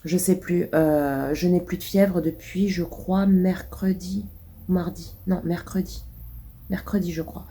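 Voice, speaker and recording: Casting woman in her thirties, congested and coughing, low mellow voice, rambling vlog chat. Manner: rambling, many hesitations. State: congested, stuffy nose. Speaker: vlogger.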